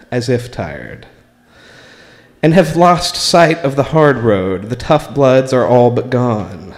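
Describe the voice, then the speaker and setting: Slow-paced American man reading in reverberant room. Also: mid-range voice, a little mellow. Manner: deliberate, measured, pausing between short phrases. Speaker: spoken word performer reading own writing. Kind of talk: live open mic poetry and story reading. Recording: live recording in a reverberant room.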